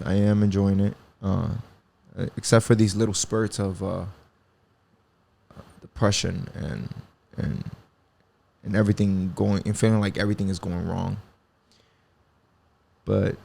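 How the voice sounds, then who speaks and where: Male host, 20s, lowish gravelly voice, rambling casual podcast monologue. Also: darkish tone. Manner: rambling, reflective, swears freely, many fillers. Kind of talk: casual solo podcast talk.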